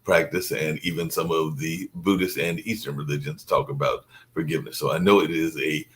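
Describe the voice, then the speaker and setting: A man's voice: a bass voice, steady tone, a little fast, fairly bright tone. A man hosting a radio conversation, asking thoughtful questions and giving short affirmations. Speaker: radio host.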